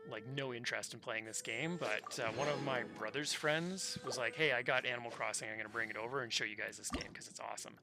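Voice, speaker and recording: A man livestreaming a game, chatting casually in an easygoing, slangy, conversational way. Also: mid-range voice. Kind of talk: casual chat while gaming. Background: game music.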